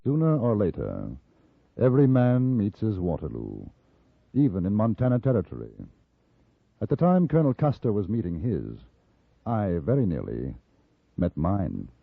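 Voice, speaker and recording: An actor playing an urbane newspaper reporter out West, narrating a 1950s radio drama with dry, understated wit. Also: male voice, fairly low pitch, wildly swooping pitch, normal speed, creaky, pauses often, noisy background, exaggerated voice. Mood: wry, reflective. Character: wry, urbane English newspaper reporter and gunman in Old West. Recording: muffled old radio recording, orchestral music.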